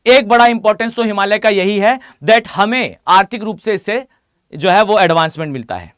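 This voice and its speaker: A hoarse man with an Indian accent teaching a live online class, often asking students to reply. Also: sits high, moderate pace, fairly bright tone, no room sound, studio quality. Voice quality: mixes Hindi with English phrases. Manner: addresses students directly, asks them to reply.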